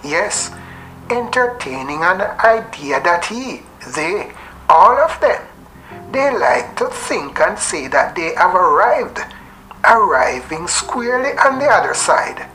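A woman narrates poetic prose at a slow, deliberate pace in a fairly low voice. Her tone is bright and forceful, with theatrical phrasing, over faint background noise. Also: big pitch swings, soft background music, low-quality recording. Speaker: narrator reading a literary story.

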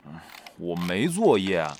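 Man as a cocky, low-voiced teenage troublemaker. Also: very animated, unhurried, over music. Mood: offhand. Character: cocky, menacing male high school troublemaker. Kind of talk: Chinese audio drama acting.

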